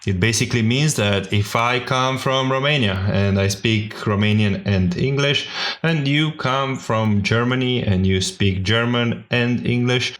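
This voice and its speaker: A man hosting a podcast, reading scripted narration smoothly and giving advice straight to the listeners. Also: mid-range voice, fairly bright tone. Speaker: podcast host.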